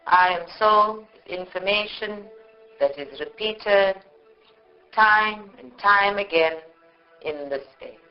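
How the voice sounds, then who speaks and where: A woman guides a meditation at a very slow pace. She speaks in short, measured phrases and leaves long pauses between them. The live recording sounds dull and lo-fi. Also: mid-range voice, soft background music. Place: live group meditation session.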